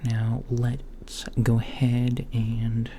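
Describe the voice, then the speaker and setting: A man doing a soft-spoken, partly whispered ASMR roleplay as a calm, reassuring doctor, murmuring hesitantly with long pauses. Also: mid-range voice. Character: calm reassuring male alien doctor at a checkup. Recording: lo-fi with faint background noise.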